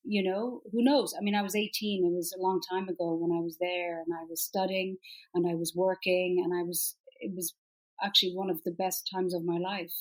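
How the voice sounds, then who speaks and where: A woman's voice: medium pitch, low-quality recording. A woman in her forties, a guest in an interview, talking in a chatty way with many fillers and restarts. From Ireland. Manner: chatty, warm storytelling with frequent fillers and restarts. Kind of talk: casual interview chat.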